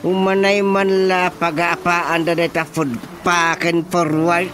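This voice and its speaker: Grumbling Ilocano village chairman, slow, weary, radio drama comedy, noisy background. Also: sits high, flat delivery, fairly bright tone. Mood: exasperated. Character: exasperated village chairman, complaining but dutiful. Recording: noisy background ambience, vehicle sounds.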